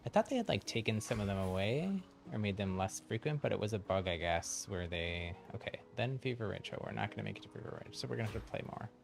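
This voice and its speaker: A man's voice: fairly low pitch, lively intonation, fast, creaky, few pauses. A man chatting casually and in a friendly, welcoming way to viewers while streaming a game. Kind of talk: casual live gaming chat and commentary. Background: game music.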